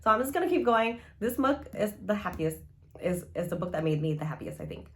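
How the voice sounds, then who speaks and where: A woman's voice: medium pitch, sing-song, brisk. A woman chatting casually as a book vlogger, gushing and rambling informally with frequent asides.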